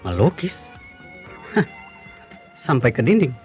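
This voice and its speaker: A man's voice: mid-range voice, sing-song, slow pace, a little mellow. An actor playing an earnest, persistent male doctor courting a colleague in an Indonesian radio drama. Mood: incredulous, teasing. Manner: earnest, probing. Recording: dull lo-fi radio drama, music behind.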